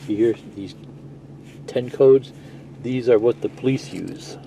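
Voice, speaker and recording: A man's voice: medium pitch, takes it slowly, creaky, warm tone, a little hiss or hum, rough recording. A middle-aged man giving a casual, rambling, plain-spoken hands-on walkthrough of a gadget, explaining as he goes. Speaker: CB radio video presenter.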